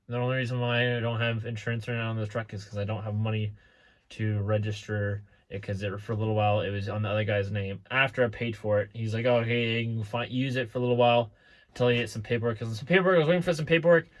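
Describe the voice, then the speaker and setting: A man's voice: mid-range voice, a bit bright. Young guy, twenties, chatty vlog delivery, loose and rambling, outdoor camera mic. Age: 20s. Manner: rambling, many restarts and fillers. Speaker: small trucking business owner. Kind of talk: casual talk to camera. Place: outdoors beside his truck.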